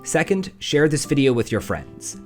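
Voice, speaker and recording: A man's voice: fairly high pitch, very animated, normal speed. A man reading scripted video essay narration in a wry, sardonic, sweary way. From Ireland. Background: background music.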